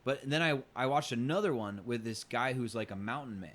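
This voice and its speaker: A man co-hosting a podcast in casual conversation, halting and often restarting his phrases. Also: mid-range voice, fairly bright tone. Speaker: podcast co-host.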